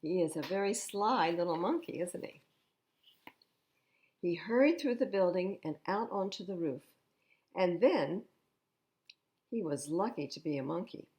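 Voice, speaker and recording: A woman reading a picture book aloud to children at storytime, expressively, pausing to explain the pictures in simple words. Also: fairly low pitch, expressive, a little mellow. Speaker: library storytime reader.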